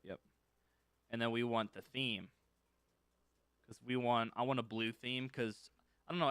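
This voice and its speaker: A man's voice: medium pitch, pushed and bright, smooth, no echo. A man casually teaching scripting on a live stream, thinking aloud as he works and chatting with viewers. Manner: thinks aloud while working, loose and informal, reads chat and answers.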